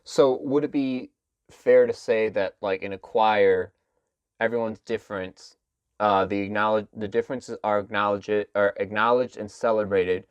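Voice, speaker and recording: Thoughtful, halting male podcast host. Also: fairly low pitch, fairly even intonation, clear, resonant tone, dry recording, lo-fi sound. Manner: thoughtful, halting, many fillers. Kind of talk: interview conversation.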